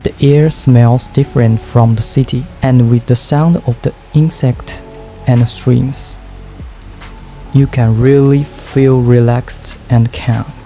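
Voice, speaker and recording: A man narrates a travel vlog in a slow, unhurried voice-over. His tone is deep, dark and mellow, with a gravelly edge. He speaks careful, measured learner's English over soft background music. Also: steady tone. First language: Japanese. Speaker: travel vlogger.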